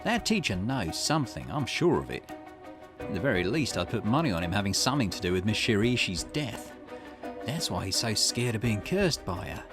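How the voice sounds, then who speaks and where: A man's voice: fairly low pitch, big pitch swings, normal speed, music in the background. A man voicing a shrewd, confident male investigator in a visual novel, speaking in a conversational, explanatory way. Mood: pondering, suspicious. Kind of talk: reading visual novel dialogue aloud.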